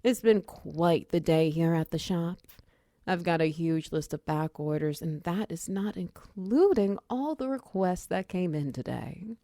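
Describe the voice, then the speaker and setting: A friendly minotaur girl who runs a magic potion shop, acted by a woman in an ASMR roleplay. Her voice sits a little low for a woman, with a chatty, giggly, slightly flustered manner. She talks straight to the listener, close and very dry. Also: a little slow, dark tone, dry recording. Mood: busy, apologetic. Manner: chatty, giggly, casual and conversational. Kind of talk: roleplay ASMR audio drama, addressing the listener.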